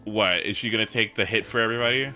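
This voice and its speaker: A man's voice: on the low side, fast talker, sharp tone, soft background music. A man giving casual live-stream commentary on a game, blunt and sweary. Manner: blunt, sweary, exasperated outbursts.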